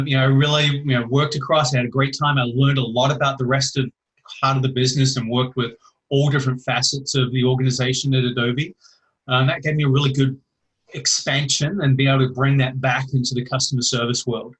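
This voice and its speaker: A middle-aged man with a New Zealand accent, a podcast guest answering questions in a halting way, filling with "uh" and correcting himself. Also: medium pitch, level tone, fairly bright tone, breathy edge, rough recording. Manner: halting, many "uh" and self-corrections. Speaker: guest, customer service executive. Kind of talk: casual interview answer.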